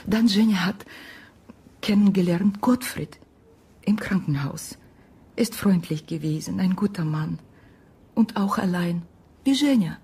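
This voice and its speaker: Husky, breathy Ukrainian woman, sorrowful. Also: medium pitch, lively intonation, a little mellow, exaggerated voice. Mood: sorrowful, confiding. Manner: halting, broken German with simple syntax. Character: sorrowful Ukrainian immigrant woman, hospital worker, gentle and weary. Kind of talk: naturalistic radio drama acting.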